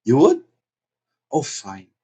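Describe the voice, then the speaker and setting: A man's voice: slow, mellow, lightly acted. A man playing the anxious, well-meaning daughter of a society hostess in a stage comedy, earnest and pleading. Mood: polite, eager. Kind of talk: theatrical stage comedy acting.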